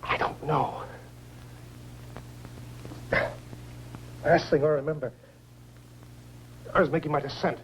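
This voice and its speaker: An actor playing a dazed astronaut shaken by a crash landing, in 1950s science fiction film style. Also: male voice, fairly high pitch, steady tone, moderate pace, natural voice. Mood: uneasy, bewildered. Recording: noisy old film soundtrack with hum.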